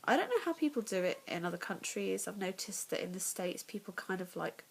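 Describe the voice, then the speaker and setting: A woman's voice: medium pitch, big pitch swings, a little fast, gravelly, slight background noise. A young English woman vlogging casually about her pregnancy, congested and nasal, chatty and candid, often dropping to a whisper, at home in the evening. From England.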